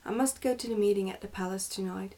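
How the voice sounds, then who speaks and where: A woman's voice: medium pitch, steady tone, fast, dark tone, natural voice. A woman voicing a stern, pompous goblin father in an ASMR storybook reading, scolding and commanding.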